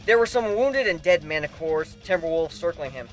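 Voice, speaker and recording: A man narrates a fantasy tale in an amateur dramatic reading. His voice sits high for a man and moves with some liveliness. Music plays softly behind him. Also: expressive, moderate pace. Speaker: amateur fan fiction reader. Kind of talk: dramatic first-person story narration. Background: background music.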